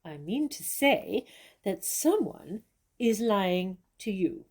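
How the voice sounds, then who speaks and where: An actress playing a famous, worldly stage star who speaks in a measured, composed and condescending way. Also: female voice, mid-range voice, very animated, a little mellow, a little hiss or hum. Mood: firm denial. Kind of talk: stage-style dramatic dialogue.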